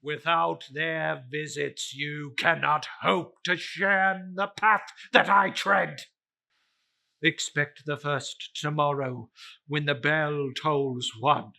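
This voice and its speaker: A tormented ghost from a classic storybook. The voice is slow and bright, forceful in its anguish. It declaims ominous warnings with long, wailing, drawn-out words. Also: male voice, fairly high pitch, steady tone, vocal fry, heavily acted. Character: tormented ghost of a dead businessman, anguished, solemn warner. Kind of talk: dramatic storybook reading.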